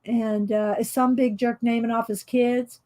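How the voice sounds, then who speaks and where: A woman's voice: on the high side, flat delivery, a little slow. Chatty woman with a carrying voice. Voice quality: loud voice that carries. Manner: chatty and rambling, laughs often. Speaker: coloring channel host. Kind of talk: casual chat while coloring on a livestream.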